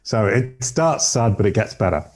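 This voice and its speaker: A middle-aged man from Yorkshire with a deep voice, telling his life story as a podcast guest in a rambling, self-correcting way. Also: very animated, normal speed, a little mellow. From Yorkshire, England. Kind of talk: casual interview storytelling.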